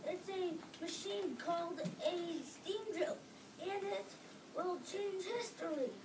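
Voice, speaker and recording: A woman reading the part of a boastful male city salesman in a script reading, halting word by word. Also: extremely high pitch. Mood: boastful pitch. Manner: slow, halting, word-by-word delivery. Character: slick, boastful male city salesman pitching his machine. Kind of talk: reader's theater script reading. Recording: distant mic, echoey room, clear background noise.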